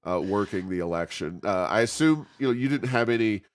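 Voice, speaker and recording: A man's voice: fairly low pitch, lively intonation, brisk. A man with an American accent hosts a casual interview, asking meandering, conversational questions with many hesitations and ums. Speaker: interview host.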